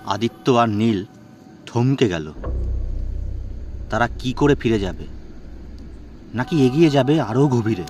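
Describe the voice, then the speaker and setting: A man's voice: mid-range voice, lively intonation, slow, pauses often, darkish tone. A man telling a horror story as a dramatic, scripted narration. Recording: music bed behind the voice.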